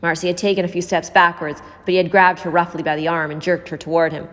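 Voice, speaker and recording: A woman's voice: fairly low pitch, level tone, fast talker. A woman reading a scripted story aloud as an audiobook narrator. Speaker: audiobook reader. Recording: echoey hall-like reverb.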